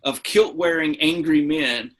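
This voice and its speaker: Profane, combative man, bright and rough-edged. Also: fairly high pitch, measured pace. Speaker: podcast co-host. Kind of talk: casual heated podcast chat.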